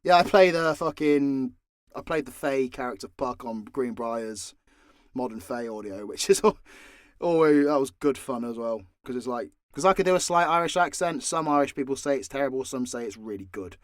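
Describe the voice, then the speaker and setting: A man's voice: medium pitch, expressive, moderate pace, dry recording, clean studio sound. A man chatting casually and candidly, swearing freely, as he answers questions off the cuff as a creator. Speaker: voice actor and audio creator answering fan questions. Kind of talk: casual unscripted Q&A talk.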